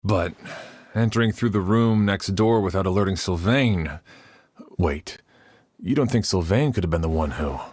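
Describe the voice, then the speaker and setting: A deep, methodical investigator. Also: male voice, a little mellow, husky, dry recording. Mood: measured. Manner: measured, methodical questioning. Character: calm, deep-voiced male investigator. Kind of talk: game voice acting.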